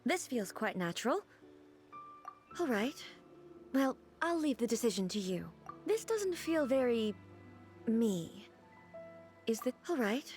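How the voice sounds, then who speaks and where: An ageless goddess ruler from a video game. The voice is on the high side, formal, earnest and measured, with music playing behind it. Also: female voice, big pitch swings, darkish tone. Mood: hesitant, self-conscious. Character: solemn ageless female ruler deity, formal, sheltered, earnest. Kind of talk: video game character dialogue.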